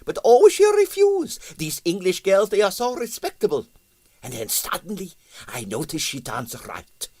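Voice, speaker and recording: A man voicing an excitable, boastful Russian ballet impresario, theatrical in broken English with bits of French. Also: high-pitched, normal speed, dry recording, pitched above the performer's own voice, exaggerated voice. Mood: confiding, cheerful.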